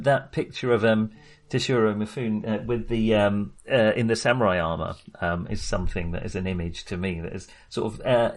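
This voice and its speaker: A man's voice: on the low side, a little mellow. A man discussing films casually as a podcast host, in a chatty, hesitant, rambling way.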